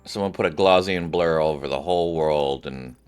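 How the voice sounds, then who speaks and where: A man's voice: extremely low pitch, moderate pace, darkish tone, faint music behind. A man streaming a video game, giving casual, rambling commentary as he reacts aloud.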